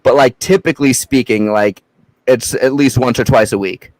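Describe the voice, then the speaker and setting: A man's voice: medium pitch, moderate pace, a little mellow, no echo, rough recording. A young adult man from Massachusetts, a podcast guest chatting casually in a loose, rambling way with frequent swearing and fillers. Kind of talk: casual chat.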